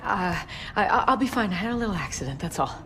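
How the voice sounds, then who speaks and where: Casting: protective, scared mother, mid-range, halting urgent pleas, cinematic game drama, noisy ambience. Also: mid-range voice, lively intonation, a bit bright. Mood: urgent, tender. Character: frightened, protective mother soothing her small child.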